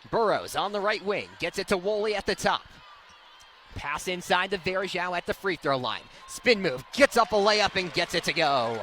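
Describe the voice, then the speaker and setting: A young man does live radio basketball play-by-play in a fairly high, bright, forceful voice. His delivery is excitable and rises with the action. He speaks into a courtside mic, with clear game noise behind him. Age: young adult. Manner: excitable, swelling on big plays.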